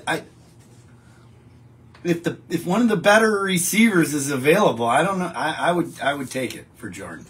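A man's voice: on the high side, fairly bright tone. A middle-aged man, hosting a casual live stream and answering viewer comments in a rambling, chatty way. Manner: chatty, rambling, frequent restarts. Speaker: show host reading viewer comments. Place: home studio with a wallpaper backdrop.

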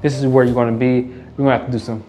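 A man's voice: medium pitch, little pitch movement, rapid-fire, warm tone, faint music behind. Hyped-up man touring an empty house. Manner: casual upbeat hype, repeats phrases. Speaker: realtor and house flipper. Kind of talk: walkthrough house tour vlog. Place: empty house, bare rooms indoors.

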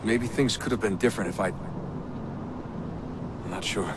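A young male assassin played by an actor with a fairly low, everyday voice. He is terse, subdued and defensive, as in game drama, under loud background noise. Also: moderate pace, lightly acted. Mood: regretful. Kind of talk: video game drama dialogue. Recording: very noisy game ambience.

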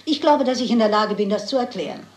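In a roomy crime radio play, an actress plays a shrewd elderly lady sleuth with a middle-pitched voice. She is unflappable and matter-of-fact, laying out each point precisely. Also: animated, fast talker, a little mellow, close to an everyday voice. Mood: matter-of-fact, explaining.

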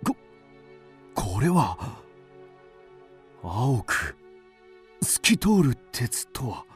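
A man's voice: mid-range voice, sing-song, very slow, breathy edge, faint music behind, heavily acted. A man playing a loyal, driven master swordsmith, earnest and intense, in a radio drama. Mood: deferential, awed.

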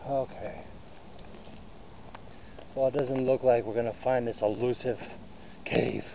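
A man's voice: mid-range voice, fairly even intonation, measured pace, creaky. Man rambling on a muffled phone hike video. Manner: rambling, muttering to himself. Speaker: vlogger. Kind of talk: casual hiking vlog. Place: outdoors in woods by a river. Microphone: phone camera held at arm's length. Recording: muffled phone audio with outdoor noise.

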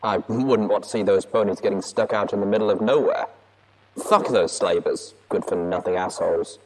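A man playing a cheeky, brash radio DJ with lively patter. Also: on the low side, normal speed, rough recording. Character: loud, cheeky, foul-mouthed radio DJ.

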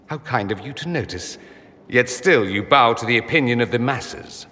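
An actor playing a witty, teasing, urbane mage in a fantasy game, with an arch, dry, theatrical delivery. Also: male voice, mid-range voice, animated, moderate pace, bright, forceful tone. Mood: sarcastic. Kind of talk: video game cutscene dialogue. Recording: long reverb, noisy ambience behind.

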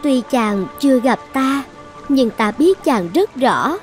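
A woman's voice: sits high, expressive, slow pace, soft background music. A woman voicing a possessive, lovesick sorceress princess in a cartoon fairy tale, commanding and coaxing with an edge of menace. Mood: coaxing, lovestruck.

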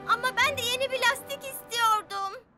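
A woman's voice: very high voice, normal speed. A woman voicing an eager, childlike little bus in a children's cartoon dub, exclamatory and easily dismayed. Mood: dismayed, complaining. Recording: music behind the voice.

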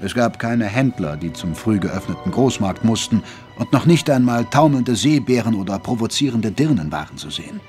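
A man's voice: lowish voice, lively intonation, moderate pace, darkish tone, faint music behind, lightly acted. A man playing a grave, literary Victorian chronicler, narrating an audio drama in a solemn storytelling manner. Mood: ominous, hushed.

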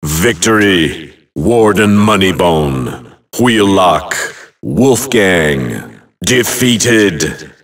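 An actor playing a grave, imposing fantasy video game announcer, calling out names one at a time in emphatic, theatrical bursts. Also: male voice, low voice, big pitch swings, drawn-out words, far from the mic, heavily acted. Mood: grand, dramatic.

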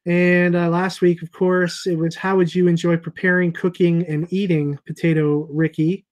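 A man in his forties chats casually as a show co-host. His voice sits a little high for a man. He is speaking on a video call through wired earphones. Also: flat delivery, moderate pace, dull audio. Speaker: show co-host at a comic shop. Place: small room at home, indoors.

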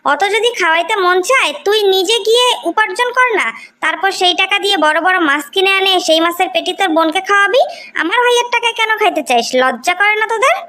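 A very high, piercing female voice with a Bangladeshi accent , acted by a woman, plays a young cartoon sister. She is brash, haughty and spiteful, always ready to scold. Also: quick pace, pushed and bright. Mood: scornful, angry. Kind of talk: amateur cartoon story dubbing.

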